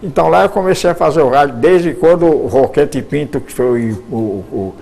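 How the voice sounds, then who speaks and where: A man's voice: fairly high pitch, expressive, normal speed, creaky, mellow, low-quality recording. An older Brazilian man, a radio broadcaster, answering interview questions at length, often repeating words as he searches for them.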